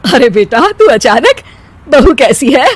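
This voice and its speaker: A voice actor playing a fond middle-aged mother in a cartoon, doting on her son while gently scolding him. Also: mid-range voice, sing-song, measured pace, slightly rough, no room sound. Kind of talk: cartoon voice acting.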